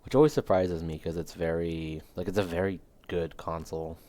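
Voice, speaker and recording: Brief: male game streamer, very deep voice, rambling with fillers, voice going hoarse. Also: fairly even intonation, brisk, mellow. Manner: rambling, thinking aloud, many fillers. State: losing his voice. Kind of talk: casual live game commentary.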